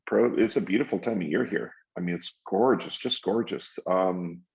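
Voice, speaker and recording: A man chatting casually as a guest, relaxed and hesitant with many ums. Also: deep voice, normal speed, vocal fry. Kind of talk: casual chat. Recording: dull lo-fi sound.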